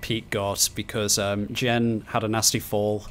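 A man's voice: lowish voice, level tone, measured pace, a bit bright, a little hiss or hum. A man chatting casually while playing a co-op video game, teasing in a dry, mock-serious way. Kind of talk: casual gaming chat.

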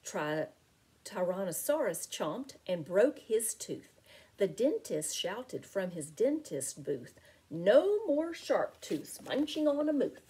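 Middle-aged female teacher for picture book read-aloud: mellow, slightly husky, sing-song delivery. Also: medium pitch, sing-song, takes it slowly. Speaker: teacher reading aloud to children. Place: small home room with window blinds.

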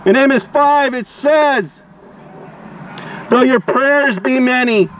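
A man's voice: very high voice, takes it slowly, a bit bright. A man street preaching outdoors, declaiming accusingly in short, projected phrases through a megaphone. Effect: amplified through a megaphone. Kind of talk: open-air protest preaching. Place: outdoors by a walkway and car park. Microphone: action camera beside the megaphone. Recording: dull megaphone sound, outdoor noise, cars.

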